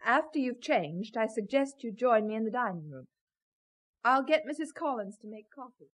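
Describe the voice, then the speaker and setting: An exasperated, sharp-tongued wife in a 1970s radio play. Her voice sits fairly high and swings expressively, with a brisk, reproachful edge. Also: expressive. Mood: pointed, controlled. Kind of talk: 1970s radio drama acting.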